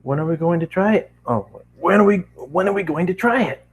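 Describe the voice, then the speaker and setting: A man's voice: high voice, lively intonation, a little fast, slight background noise, pitched above the performer's own voice. A man voicing an eager, impatient female cartoon pony in a storybook reading.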